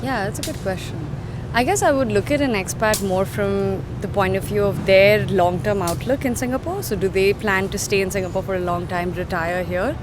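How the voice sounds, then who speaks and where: A woman's voice: mid-range voice, normal speed. A woman from India, interviewed on the street, answering in a composed, articulate way. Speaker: interviewee, expat. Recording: street recording with clear background noise.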